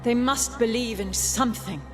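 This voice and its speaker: A woman's voice: fairly high pitch, moderate pace, over music, lightly acted. A woman playing a solemn, conciliatory elven goddess in a fantasy video game. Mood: solemn, persuasive.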